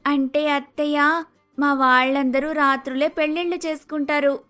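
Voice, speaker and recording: A woman's voice: sits high, flat delivery, moderate pace, bright, forceful tone, soft background music. A woman voicing a polite, naive young daughter-in-law with an Andhra Telugu accent, exclaiming in a cartoon story. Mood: urgent. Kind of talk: acted dialogue in animated moral story.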